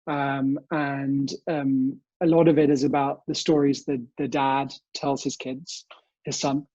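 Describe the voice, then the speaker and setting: A man's voice: mid-range voice, level tone, measured pace, darkish tone, no echo, dull audio. A young British man speaking politely as an interview guest, telling family memories in a conversational way. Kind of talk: conversational interview answers, reminiscing. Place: remote recording from home.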